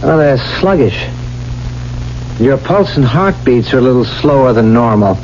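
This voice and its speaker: An actor playing a cautious doctor, measured and matter-of-fact, in a 1970s radio drama. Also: male voice, medium pitch, lively intonation, darkish tone, close to an everyday voice. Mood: grave concern. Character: sober, cautious male doctor. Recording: dull vintage radio recording, faint hum.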